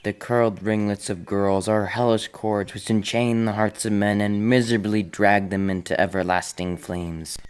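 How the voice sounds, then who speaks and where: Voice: low voice, fairly even intonation, moderate pace, hardly any pauses, clean tone, natural voice. A performer playing a boyish young brother in an audio drama, with a declamatory manner. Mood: preachy. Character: playful young brother turning solemnly preachy.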